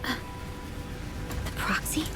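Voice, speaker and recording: A woman's voice: takes it slowly, over music, natural voice. A woman playing a hesitant young female character in a video game. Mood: uncertain, anxious.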